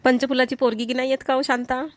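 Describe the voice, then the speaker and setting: A woman's voice: high voice, little pitch movement, fast talker, natural voice. A woman voicing a kindly, grateful elderly village mother-in-law with a Varhadi Marathi accent in an amateur cartoon comedy. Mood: neighbourly, concerned.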